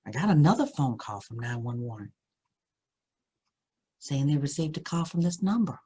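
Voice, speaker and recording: A woman reading a spooky first-person story aloud, with suspenseful, deliberate phrasing and dramatic emphasis. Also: a bass voice, big pitch swings, warm tone, breathy edge.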